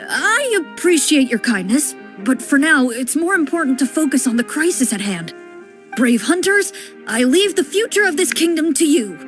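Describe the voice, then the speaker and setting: Calm, reserved swordswoman. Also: high voice. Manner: calm, measured, explanatory. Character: composed young female duelist, serious and reserved. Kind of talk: game cutscene dialogue. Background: game music.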